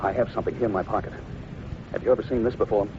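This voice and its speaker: An actor playing a brisk, shrewd, polite Mountie sergeant in an old-time radio drama. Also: male voice, lowish voice, steady tone, close to an everyday voice. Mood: businesslike. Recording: dull old radio recording, faint noise.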